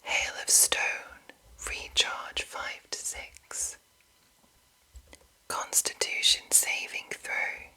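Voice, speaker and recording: A woman whispers in an ASMR style, with no voiced tone at all. Her whisper is very bright and crisp-edged, full of breath. She speaks softly as a host addressing her listeners. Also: rough recording. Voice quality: breathy, unvoiced whisper throughout. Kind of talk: whispered ASMR reading of game rulebook and chat to viewers.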